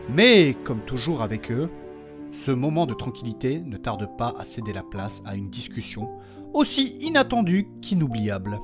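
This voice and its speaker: A man with a husky voice narrating a scripted audio fiction as its storyteller and host. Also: medium pitch. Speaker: narrator and podcast creator.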